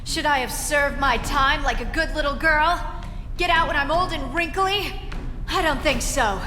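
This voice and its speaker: A woman's voice: sits high, moderate pace. An actress playing a confident, mocking female cat burglar in a video game, with a playful, purring, taunting manner. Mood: defiant, sly. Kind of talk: video game cutscene acting. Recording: long reverb, loud music over voice.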